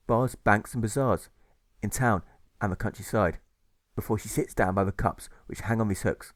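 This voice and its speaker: A man narrating a rhyming audiobook in a theatrical, deliberate storyteller's manner. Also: lowish voice, dry recording, studio quality. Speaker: author narrating own novel.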